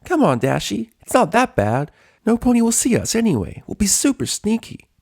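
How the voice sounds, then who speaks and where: A man voicing a bubbly, excitable young female cartoon pony who gushes in exclamations. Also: high-pitched, normal speed, vocal fry, voice pushed up, full character voice. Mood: coaxing, upbeat. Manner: gushing, exclamatory.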